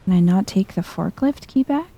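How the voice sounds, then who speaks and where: A soft-spoken woman giving chill, hushed ASMR commentary while thinking aloud through a video game. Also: medium pitch, animated, normal speed, breathy edge.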